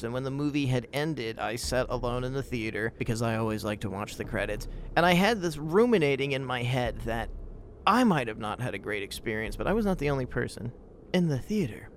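A man's voice: mid-range voice, animated, fairly quick, a little hiss or hum. A man hosting a podcast, telling personal stories and opinions in an emphatic, profane way. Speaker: podcast host. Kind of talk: spoken podcast commentary and personal anecdote.

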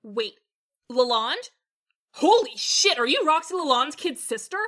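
A woman acts out a brash young gamer in an audio drama. Her voice is high and loud. She comes across as boastful and easily excited. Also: measured pace, exaggerated voice. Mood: amazed.

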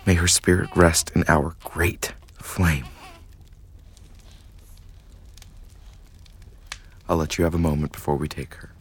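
A man's voice: sits low, fairly even intonation, moderate pace, a little hiss or hum, natural voice. A man playing a grave, conflicted young nobleman in an audio drama, with a restrained, solemn manner.

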